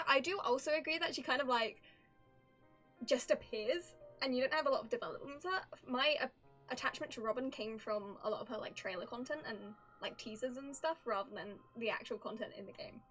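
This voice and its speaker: High-voiced woman livestreamer chatting casually. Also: a little fast, low-quality recording. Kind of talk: casual game reaction commentary. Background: soft game music.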